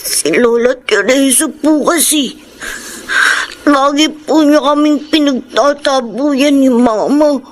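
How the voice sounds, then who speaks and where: High, slow, sad little boy. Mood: sad, plaintive. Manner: plaintive, timid, polite. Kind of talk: Filipino radio drama acting. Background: music.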